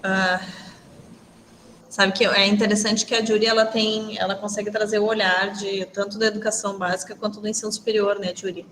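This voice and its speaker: A woman's voice: mid-range voice, level tone, brisk, fairly bright tone, big-room sound, a little hiss or hum, low-quality recording. A woman teacher leading an online class discussion in a conversational way, often adding "né" tags.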